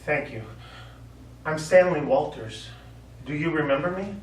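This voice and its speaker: An echoey stage recording, picked up from a distance with faint noise. An actor plays an aging American war veteran, hesitant and earnest, apologetic yet defensive in a theatrical drama. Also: male voice, a little high, expressive, a little slow. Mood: tender, polite. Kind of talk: stage play acting. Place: small theatre studio, recorded from afar.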